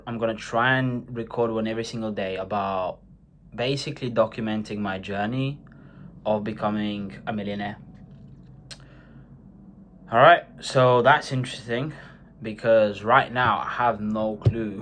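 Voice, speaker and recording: A man's voice: lowish voice, a bit bright, a little hiss or hum. A man in his twenties from Bulgaria, talking earnestly in a rambling, personal vlog monologue with many fillers. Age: 20s. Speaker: vlogger.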